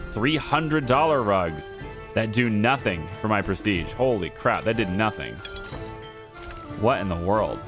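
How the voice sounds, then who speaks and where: A man with a lowish voice, hoarse as though he is losing it, talks his way through a video game in a casual let's-play. He mutters half to himself and half to his viewers, and game music plays steadily underneath. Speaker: gaming YouTuber.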